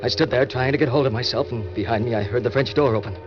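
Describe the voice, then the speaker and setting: A man's voice: mid-range voice, fast, over music, natural voice. An American actor playing a desperate, tough-talking male impostor who narrates his crime in melodramatic 1940s radio style. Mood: shaken. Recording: dull, lo-fi old radio recording.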